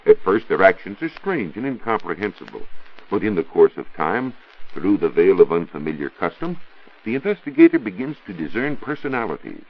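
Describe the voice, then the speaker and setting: Very deep, husky American radio lecturer. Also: male voice, big pitch swings. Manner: didactic, rhetorical, measured phrasing. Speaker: science reporter. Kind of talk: scripted 1940s radio science talk. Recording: dull 1940s radio recording.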